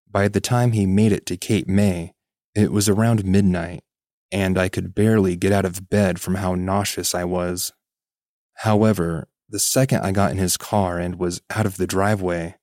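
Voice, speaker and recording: A man's voice: deep voice, darkish tone, no room sound, studio quality. A man reading a first-person spooky story as a scripted podcast narration.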